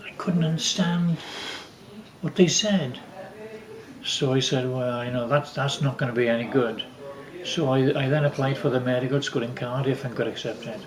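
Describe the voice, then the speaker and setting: A man's voice: medium pitch, crowd noise. Older man reminiscing, roomy sound. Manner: halting, rambling storyteller. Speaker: father telling family stories. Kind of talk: casual reminiscing.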